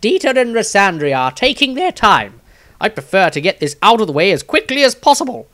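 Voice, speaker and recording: Fantasy audiobook role: disdainful engineer, high, loud, grumbling and impatient. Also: male voice, sing-song, fairly quick. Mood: impatient, irritated. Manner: emphatic, grumbling. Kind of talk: dramatised fantasy audiobook diary.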